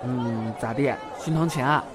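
A man's voice: medium pitch, moderate pace, crowd noise, lightly acted. An actor playing a young male beat cop who is cheeky, brash and playful in an audio drama. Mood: teasing, casual.

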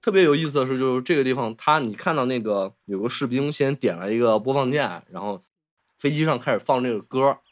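A man's voice: mid-range voice, animated, fast talker. A man, talking casually and enthusiastically about film as a podcast host, often repeating himself.

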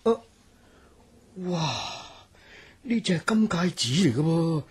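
An actress playing a humble, awkward messenger in a radio drama, hesitant and deferential, with a Hong Kong Cantonese accent. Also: female voice, sits low, very animated, takes it slowly, slightly rough, exaggerated voice. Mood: humble, startled.

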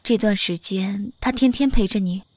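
A woman's voice: mid-range voice, normal speed, a little mellow, no room sound, natural voice. A woman playing a composed, mature young mother in an audio drama, reserved and a little strict. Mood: probing. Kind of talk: modern audio drama acting.

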